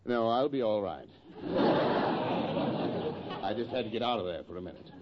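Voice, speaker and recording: Man playing a low-voiced, exasperated doctor. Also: animated, normal speed, heavy background noise, natural voice. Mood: reassuring. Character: exasperated, polite male doctor with dry patience. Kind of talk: 1940s radio sitcom acting. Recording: dull vintage 1940s radio.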